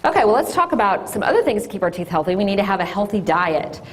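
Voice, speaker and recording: A woman, an orthodontist giving a loud, lively classroom talk to children. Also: medium pitch, quick pace. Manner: loud and lively, sing-song call-and-response.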